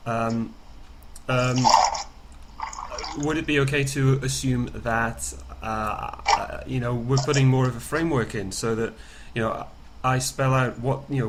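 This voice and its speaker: Man, call participant, mid-pitched, hesitant and tentative questioner, faint background noise. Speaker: programme participant relaying questions. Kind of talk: informal conference-call questioning. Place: remote conference call.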